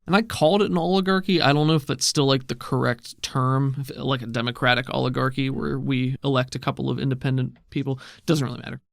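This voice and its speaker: Easygoing male game master with a creaky tone and a dead-dry close mic. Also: medium pitch, steady tone, fast, few pauses, no echo. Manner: easygoing, explanatory, reads rules aloud. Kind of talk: narrating and explaining a tabletop game.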